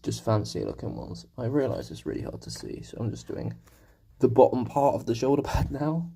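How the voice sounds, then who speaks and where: A man's voice: mid-range voice, huge pitch swings, moderate pace, warm tone. A young man with a sore throat, chatting casually to his stream viewers as he paints, rambling and poking fun at himself. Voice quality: gravelly, husky. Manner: rambling, self-deprecating, talking to viewers. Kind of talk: casual live-stream chat while painting.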